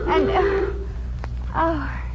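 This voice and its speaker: A woman's voice: extremely high pitch, noisy background, heavily acted. An actress playing a clumsy, eager young salesgirl with wisecracks, in 1950s radio comedy style. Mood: flustered, eager. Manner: flustered, apologetic, quick with deadpan comebacks. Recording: dull old radio broadcast recording.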